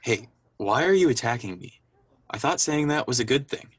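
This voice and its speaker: Man playing defensive young boyfriend, lively swooping pitch, dry close sound, bantering, contemporary radio play. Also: moderate pace, breathy edge, dry recording. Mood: exasperated. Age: young adult. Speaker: student voice actor. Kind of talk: contemporary radio drama dialogue.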